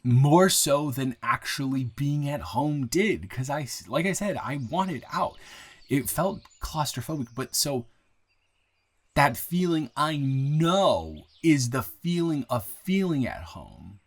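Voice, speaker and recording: A young man acting an earnest, smitten guy new in town in an improvised audio roleplay, speaking intimately and haltingly with repeated words. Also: on the high side, expressive, heavily acted. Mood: fond, sincere. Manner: halting, rambling, with repeated words and nervous chuckles.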